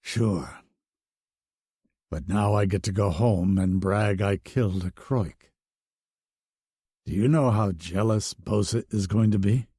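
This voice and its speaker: Narrator doing a wounded scaled alien man, low, weary, reassuring, dry close sound. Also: slow pace, long pauses, mellow, slightly rough, no room sound, full character voice. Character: wounded scaled male alien warrior, calm.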